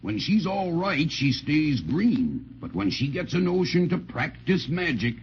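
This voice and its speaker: An actor playing a kindly, folksy, gentle giant in a 1930s children's radio serial. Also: male voice, on the high side, big pitch swings, a little slow. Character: friendly, chuckling, lonesome gentle giant. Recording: dull, hissy 1930s radio recording.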